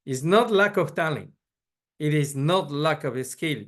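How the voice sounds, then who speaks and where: Measured man lecturing. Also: on the high side, expressive, fairly bright tone. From Ecuador. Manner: measured, frequent restarts and repeated words. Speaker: pediatric neurologist lecturer. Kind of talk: conference lecture.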